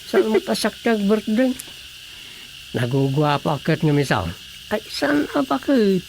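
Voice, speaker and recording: A man playing a chatty, excitable villager in a comedic radio drama, with an Ilocano Filipino accent. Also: high voice, a little slow, vocal fry, noisy background, heavily acted. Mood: eager.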